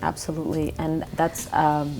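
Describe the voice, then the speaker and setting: A woman's voice: sits low, monotone, moderate pace, faint music behind. A woman hosting an interview, affirming her guests with frequent mm-hmms. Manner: warmly affirming, lots of mm-hmms. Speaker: show host.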